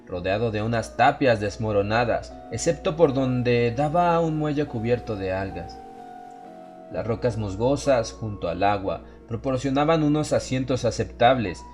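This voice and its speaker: A man's voice: medium pitch, a little mellow. A man giving a scripted first-person narration of a horror story as an audiobook narrator. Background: music behind the voice.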